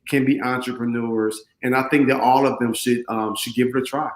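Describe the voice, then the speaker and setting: A man talks in a casual, conversational way as a guest reader. His pitch sits in the middle range. The recording has a noticeable room echo. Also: fairly even intonation, fairly quick. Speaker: guest speaker reading a children's book. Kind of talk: casual chat. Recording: roomy echo.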